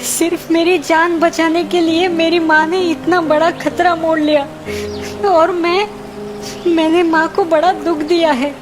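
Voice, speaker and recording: Lady doing a whiny little cartoon boy, super high voice, dramatic and moody. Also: little pitch movement, measured pace, over music. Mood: remorseful. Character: petulant, emotional young boy in a cartoon. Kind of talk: Hindi children's cartoon dialogue.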